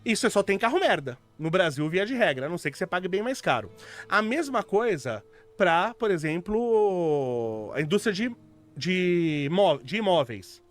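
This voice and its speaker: A man's voice: high voice, sing-song, moderate pace, soft background music. A man in casual podcast chat, explaining his views bluntly and argumentatively. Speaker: podcast co-host.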